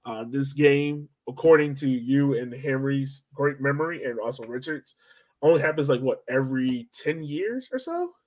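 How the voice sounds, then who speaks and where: A man's voice: medium pitch, lively intonation, normal speed, a little mellow. A young man hosting a sports podcast in an informal, slangy, chatty way. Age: young adult. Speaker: podcast host. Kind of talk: casual sports podcast chat.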